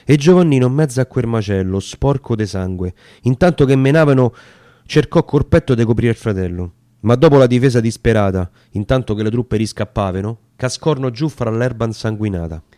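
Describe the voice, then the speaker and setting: A man's voice: medium pitch, animated, brisk, warm tone, no echo. A man with a Roman accent giving a solemn, theatrical recitation of narrative dialect poetry. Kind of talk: dramatic recitation of narrative verse.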